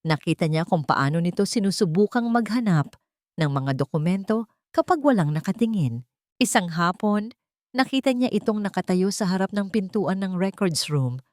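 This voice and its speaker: A woman's voice: medium pitch, animated, moderate pace, darkish tone, dry recording, studio quality. A woman reading a scripted dramatic story as its narrator.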